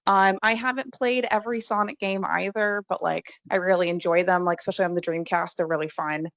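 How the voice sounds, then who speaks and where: A woman's voice: on the low side, fairly even intonation, fairly quick, a bit bright, no echo. A woman chatting casually on a movie podcast, speaking informally and peppering her sentences with "like". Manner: informal, heavy use of "like". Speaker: podcast guest.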